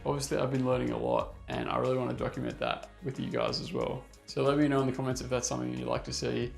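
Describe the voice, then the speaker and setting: A man's voice: medium pitch, little pitch movement, fast, creaky, soft background music. A man vlogging casually about learning a new language, upbeat and self-deprecating, talking straight to his viewers. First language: English. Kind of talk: casual vlog talk to camera.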